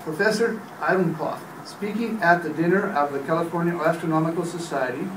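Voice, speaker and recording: A man playing a formal radio studio announcer, reading bulletins in a measured 1930s radio drama manner. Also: on the high side, natural voice. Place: hotel conference room, live panel reading. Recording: distant echoey room recording, noisy.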